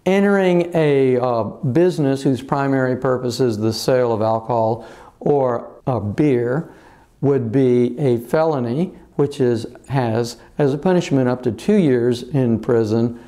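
Older man, mellow measured attorney voice. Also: mid-range voice. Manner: measured, with frequent "uh" pauses. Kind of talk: legal explainer to camera. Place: office with bookshelves, indoors. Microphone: lapel mic.

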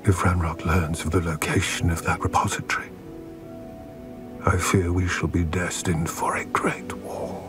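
An actor playing a weary, solemn goblin recounting his past in a game cutscene, with measured, somber delivery. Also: low voice, level tone, whispered, music in the background. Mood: grave. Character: weary old male goblin, solemn and grave. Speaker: game character.

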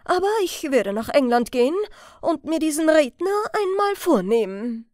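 A woman's voice: high voice, sing-song, moderate pace, a little mellow, no echo, clean studio sound, voice pushed up. A woman voicing a hot-tempered, boastful male master thief in an audiobook, scornful and emphatic. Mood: angry.

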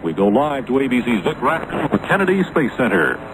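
A man's voice: mid-range voice, sing-song, a little fast. A man anchoring a radio news bulletin, introducing a live report, on a noisy shortwave recording. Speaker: radio newscaster.

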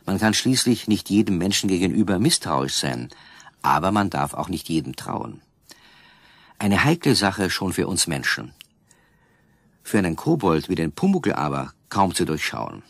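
A man narrating a children's radio play in a calm, measured way with a husky voice. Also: sits low, no room sound. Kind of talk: scripted storybook narration.

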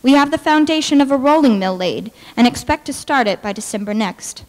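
A young adult woman giving a formal, deliberate reading of historical documents. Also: high-pitched, lively intonation. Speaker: dramatic reader. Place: large brick-walled hall with stage, indoors. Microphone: podium microphone. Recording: reverberant large hall.

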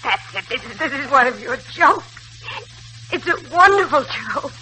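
A faintly noisy 1940s radio recording of a desperate, sickly ex-wife. Her voice is very bright and piercing, moving up and down in lively, melodramatic, insistent swoops. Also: high voice, normal speed. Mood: terrified pleading. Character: desperate, sickly, pleading ex-wife short of money. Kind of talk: 1940s radio drama acting.

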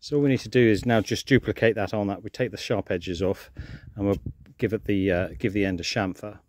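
A middle-aged man with a somewhat low voice talks his way through a woodworking job outdoors. He explains each step as he goes, pausing on ums and restarting sentences. The sound comes from a small camera he carries while he works. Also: steady tone, a little mellow. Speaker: vlogger doing home DIY. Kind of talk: casual narration while working.

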